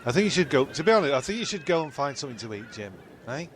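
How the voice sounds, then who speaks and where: A man's voice: mid-range voice, sing-song, very fast, over a noisy crowd, close to an everyday voice. A man playing a matey, sweary, exasperated castaway in a comic radio play.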